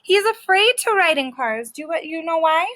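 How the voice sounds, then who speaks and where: A woman teacher reading a children's book aloud, pausing to explain things simply and ask questions. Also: very high-pitched, expressive, sharp tone, dry recording.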